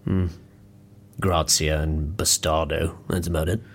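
A man's voice: a bass voice, flat delivery, a little slow, exaggerated voice. A man playing a casual, unbothered eavesdropper in an audio drama. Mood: dry, unsure.

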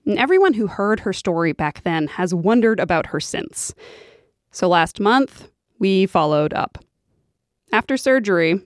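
A woman's voice: lowish voice, no room sound, studio quality. A woman hosting a radio programme, reading scripted narration. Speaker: radio host.

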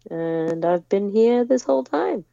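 A woman's voice: mid-range voice, expressive, unhurried, gravelly, warm tone, no echo, lo-fi sound. A woman who grew up in the United States, chatting as a musician guest over a remote call, hesitant with many restarts and fillers. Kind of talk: casual interview chat over a remote call. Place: home in England.

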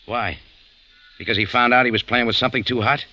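A man's voice: mid-range voice, steady tone, fairly quick, bright, forceful tone. An actor playing a tough male café owner and adventurer, wry and hard-boiled, with 1940s radio acting. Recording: dull old radio recording with faint noise.

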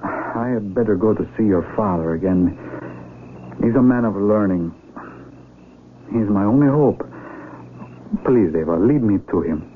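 Give this to an earnest stranded stranger in a radio drama. His voice is on the low side and swings widely with dramatic emphasis. It comes through thin and tinny. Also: expressive, unhurried, pauses often. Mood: insistent, pleading. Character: earnest male outsider stranded among isolated villagers. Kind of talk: old-time radio drama acting. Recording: tinny radio broadcast with faint noise.

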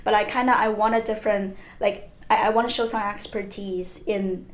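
A woman's voice: medium pitch, fairly even intonation, moderate pace. A woman in her twenties from China, a business student chatting as a podcast guest, talkative, laughing often and leaning on "like". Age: 20s. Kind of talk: casual interview chat. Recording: roomy echo.

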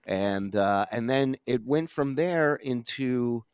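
A man's voice: medium pitch, expressive, slow, clean tone, no echo, clean studio sound. A man hosting a crude comedy podcast, teasing his guest and laughing often between hesitant phrases. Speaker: host.

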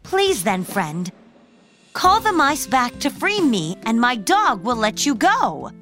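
A woman doing a sugary, gentle baker lady. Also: fairly high pitch, big pitch swings, slow, soft background music, pitched above the performer's own voice, heavily acted. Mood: sweet. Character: kind, sweet-voiced woman baker.

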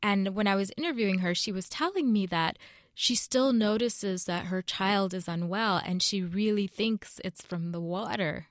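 A young woman reporter answers questions in an earnest, explanatory way. Her voice sits at an ordinary middle pitch. The recording is dry and close, with no room around it. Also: normal speed, no room sound. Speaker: correspondent. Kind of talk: news interview.

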